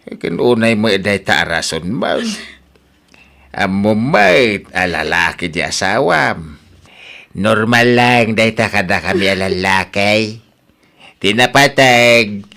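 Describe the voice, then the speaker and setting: A man playing an older father in an audio drama, admonishing his grown daughter in a deliberate manner. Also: lowish voice, lively intonation, vocal fry, pushed and bright, full character voice. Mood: stern, chiding. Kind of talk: radio-style melodrama acting.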